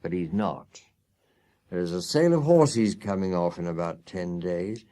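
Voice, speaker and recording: A practical farrier voiced by a male storyteller in a children's tale. He speaks plainly and firmly in a low, mellow voice. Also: a little slow. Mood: firm advice. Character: practical, matter-of-fact male farrier giving firm advice.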